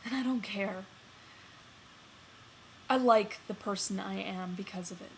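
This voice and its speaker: A woman plays a lovesick man baring his feelings to a close friend in a dramatic monologue. The delivery is earnest and halting, swelling into emphasis, at a medium pitch. There is some noise in the recording. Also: natural voice. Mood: earnest confession. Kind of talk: dramatic film monologue performed on stage. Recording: noticeable background noise.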